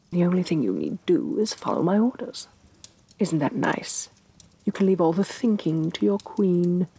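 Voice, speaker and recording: A woman playing a domineering, vain evil sorceress queen in a soft-spoken ASMR fantasy roleplay, imperious and sardonic, with breathy condescension. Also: on the low side, exaggerated voice. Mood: languid, teasing. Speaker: voice actress.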